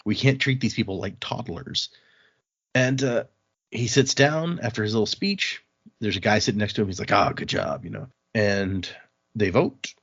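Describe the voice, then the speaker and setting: A man's voice: lowish voice, lively intonation, normal speed, a bit bright, husky, dry recording, dull audio. A man hosting a podcast, chatting informally as he recaps a book and reads bits of it aloud. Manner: conversational, informal. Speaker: podcast host. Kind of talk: casual chat recapping and reading from a novel.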